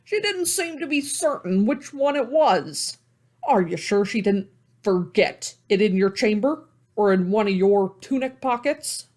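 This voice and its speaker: Male narrator as a blunt, prying animal skipper; high, breathy and bouncy. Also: lively intonation, measured pace, a little mellow. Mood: needling. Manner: folksy, pointed questioning.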